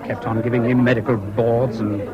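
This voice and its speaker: An actor playing an awkward, evasive English ex-airman in a radio play, speaking in a diffident, halting way. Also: mid-range voice, flat delivery, a crowd in the background, natural voice. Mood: evasive, flat. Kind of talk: 1970s British radio drama acting. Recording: dull old radio recording, busy background noise.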